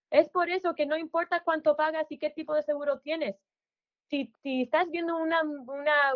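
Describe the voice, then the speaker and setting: A woman's voice: on the high side, highs cut off. American woman speaking careful, hesitant Spanish. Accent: American English. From United States. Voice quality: hesitant, with frequent fillers and English words mixed in. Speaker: clinic manager. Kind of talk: informational presentation to residents.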